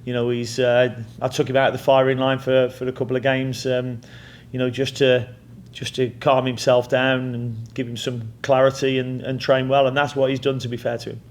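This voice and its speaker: A man's voice: mid-range voice, level tone, moderate pace, hardly any pauses. A middle-aged man with an English accent, a head coach answering press questions in a plain-spoken way, often repeating words and saying "you know" as he thinks.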